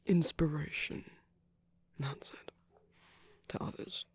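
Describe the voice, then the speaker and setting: A man playing a tender but commanding villain in a romantic ASMR roleplay, speaking softly and breathily with measured pauses, often dropping to a whisper. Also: fairly high pitch, steady tone, breathy edge, full character voice. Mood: gentle, earnest. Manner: slow, gentle, measured, with deliberate pauses. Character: tender, commanding male villain comforting his lover.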